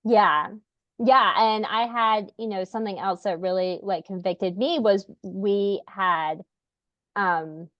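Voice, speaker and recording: A woman's voice: lowish voice, no room sound, highs cut off. A woman hosting a podcast, talking in an earnest, rambling way with many fillers. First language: English. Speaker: podcast host, parent. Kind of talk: casual podcast conversation.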